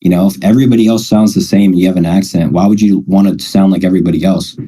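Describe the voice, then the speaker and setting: A man's voice: deep voice, steady tone, fast talker, dark tone, breathy edge. A man chatting casually and reassuringly, often checking in with short tag questions. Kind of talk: casual chat.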